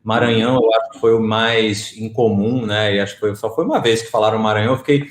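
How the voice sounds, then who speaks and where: A man in his thirties from Rio de Janeiro with a faint Rio accent, answering interview questions in a relaxed, chatty way. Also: lowish voice, fast talker, echoey room. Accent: faint Rio de Janeiro Portuguese. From Rio de Janeiro, Brazil. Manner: relaxed, chatty, frequent "né" fillers. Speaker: guest, marketing executive.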